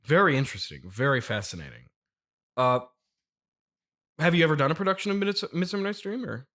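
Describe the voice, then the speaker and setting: A man's voice: fairly high pitch, sing-song, fairly quick, pushed and bright. An American man hosting an improvised comedy interview, wryly repeating things back with mock seriousness. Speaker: podcast interviewer.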